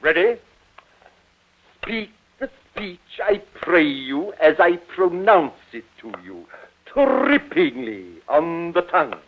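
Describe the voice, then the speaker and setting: A man's voice: sits high, exaggerated voice. A man playing a domineering, stagey middle-aged father in an old radio drama, declaiming with precise diction. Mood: instructive, then declamatory. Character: domineering, theatrical middle-aged father training his actress daughter. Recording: tinny, band-limited old radio recording.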